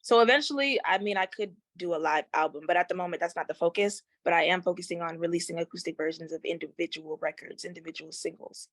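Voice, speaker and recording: A woman's voice: on the low side, fast, hardly any pauses, no echo. A young woman singer, born in Haiti, talking casually as an interview guest, with slang and many fillers. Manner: relaxed, slangy, with frequent fillers.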